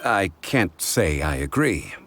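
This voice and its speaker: Erudite gentleman, very low voice, wide melodic inflection, polite, composed, game dialogue. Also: big pitch swings, a little hiss or hum, exaggerated voice. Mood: composed disagreement. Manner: measured, polite, formal. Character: calm, courteous, erudite gentleman with measured composure. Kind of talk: video game dialogue acting.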